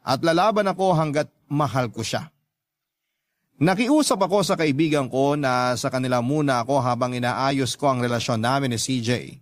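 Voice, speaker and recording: A Filipino man narrates a heartfelt love story for radio. His voice is medium-pitched and earnest, with a sentimental delivery and a close, dry studio sound. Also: no room sound. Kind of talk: dramatic first-person narration of a listener's love letter.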